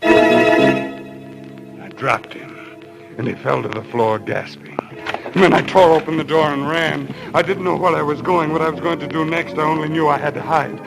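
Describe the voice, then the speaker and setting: A guilt-ridden man descending into madness in an old radio thriller. His voice is creaky and brooding, with agitated melodrama. Dramatic music runs behind the vintage recording. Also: on the high side, normal speed, rough recording. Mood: brooding dread. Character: haunted, guilt-ridden murderer sliding into ape-madness. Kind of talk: old-time radio thriller acting, first-person narration.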